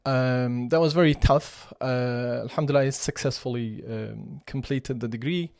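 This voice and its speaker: A Kurdish-accented man in his forties talks through his background in a measured, reflective way. He pauses often and restarts phrases. His voice sits at an ordinary pitch in a dry, close-miked room. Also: fairly even intonation, creaky, a little mellow, no room sound. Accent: Iraqi Kurdish. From Kurdistan region of Iraq. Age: 40s. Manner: thoughtful, with many fillers and restarts. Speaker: podcast guest, chief executive of a cultural centre. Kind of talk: conversational interview.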